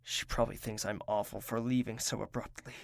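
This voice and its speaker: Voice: mid-range voice, steady tone, a little fast, gravelly, no echo, clean studio sound, natural voice. A performer voicing a troubled young royal in an anxious, self-doubting inner monologue. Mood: conflicted. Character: troubled royal young woman torn between trust and doubt. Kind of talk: audio drama inner monologue.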